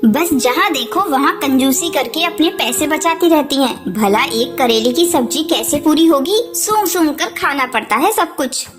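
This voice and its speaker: High-voiced, put-upon daughter-in-law bird acted by a woman. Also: expressive, normal speed, few pauses, faint music behind. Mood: muttering, resentful. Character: long-suffering young daughter-in-law bird, meek but grumbling.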